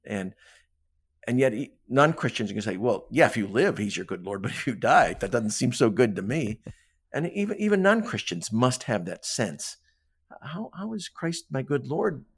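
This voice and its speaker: A man's voice: medium pitch, big pitch swings, normal speed, breathy edge. A man speaking as a guest author on a podcast, discussing literature conversationally and emphatically, often checking in with "right?" and voicing imagined dialogue as he explains. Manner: emphatic, punctuates points with "right?".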